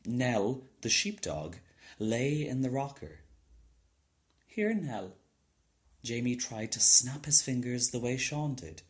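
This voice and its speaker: A man's voice: mid-range voice, lively intonation, unhurried. A man narrating a children's storybook as a scripted reading. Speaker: storybook reader.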